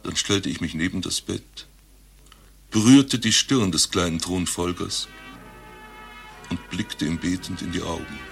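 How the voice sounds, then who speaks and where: An actor playing an eccentric doctor who claims to be Rasputin reborn, telling his tale in a deadpan, grandiose way in a satirical radio play. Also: deep voice, a little slow, long pauses, soft background music. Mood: grandiose, storytelling. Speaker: doctor recounting his past life.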